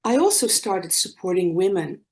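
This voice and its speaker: Dutch woman in her sixties, lowish voice. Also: sing-song, moderate pace. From Netherlands. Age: 60s. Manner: earnest storytelling with restarts. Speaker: conference speaker, nurse. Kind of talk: online conference talk.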